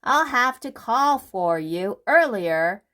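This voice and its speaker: A woman voicing a brisk, bossy, restless male storybook character who talks in eager, hurried exclamations. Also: fairly high pitch, animated, bright, forceful tone, pitched above the performer's own voice, exaggerated voice.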